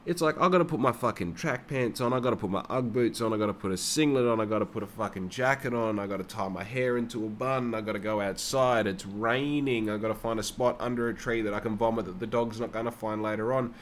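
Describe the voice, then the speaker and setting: A young man talks in a low voice, rambling and swearing casually as he tells his own story. Faint background noise sits under his voice. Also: fast talker, hardly any pauses. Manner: rambling and heavily profane. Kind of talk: casual personal monologue.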